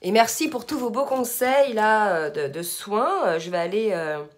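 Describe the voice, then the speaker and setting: A hoarse woman giving a spiritual card reading to her viewers, soft-spoken, sometimes murmuring requests to her guides. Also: medium pitch, animated, moderate pace, slight background noise. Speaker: tarot card reader.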